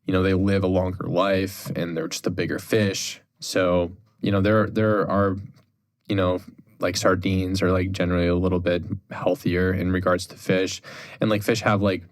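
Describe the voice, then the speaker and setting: A young man with a deep, low voice chats easily as a podcast guest. He talks loosely, leaning on "like" and "dude" as he rambles through his points. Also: normal speed. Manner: relaxed, filler-heavy, says "dude" and "like" often. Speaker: podcast guest, holistic health influencer. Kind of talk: casual chat.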